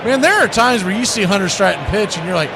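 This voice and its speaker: A man doing excitable play-by-play baseball commentary, folksy and emphatic, mixed with casual banter. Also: high-pitched, fast, creaky, crowd noise, background noise.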